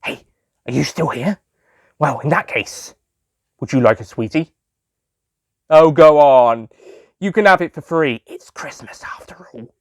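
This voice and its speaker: A man's voice: a little high, a little slow, long pauses, dry recording, clean studio sound. A man playing a jolly, sentimental male sweet-shop owner who tells his own story in a fond, theatrical manner for an audio drama. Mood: playful, kindly.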